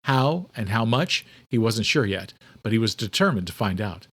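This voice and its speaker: A man's voice: on the low side, sing-song, moderate pace, a bit bright, slightly rough. A man narrating a scripted audiobook thriller in a dramatic storytelling style.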